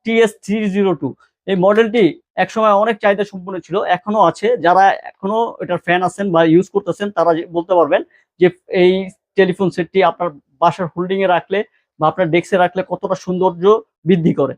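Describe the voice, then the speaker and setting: Fast, high-pitched Bangladeshi man selling products. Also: level tone, no room sound. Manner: salesman-like, repeats key words and prices. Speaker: shop seller presenting products. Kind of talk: informal product showcase with prices.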